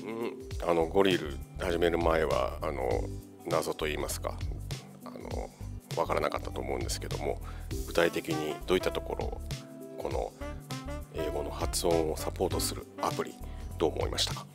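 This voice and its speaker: Guy interviewing, super deep creaky voice, polite but kinda hesitant, music in the back. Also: normal speed. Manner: hesitant, roundabout phrasing. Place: meeting room. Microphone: handheld microphone.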